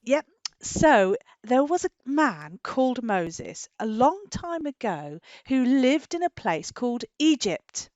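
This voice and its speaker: A British woman hosting story time for young children, asking animated questions, stretching key words and adding tag questions. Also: sits high, slow pace, dry recording, muffled recording. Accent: British English.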